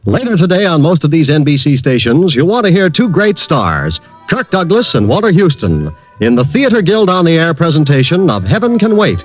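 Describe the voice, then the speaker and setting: A male radio announcer reading the closing credits and promotions in a smooth, formal announcer style. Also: fairly high pitch, big pitch swings, fairly quick, soft background music. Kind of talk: scripted announcement. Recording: muffled vintage radio broadcast.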